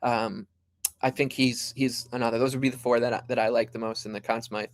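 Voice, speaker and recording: A man's voice: mid-range voice, flat delivery, fairly quick, no echo. A male guest analyst giving picks in casual chat, hesitant and thinking aloud. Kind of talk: casual sports betting chat. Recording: dry close sound.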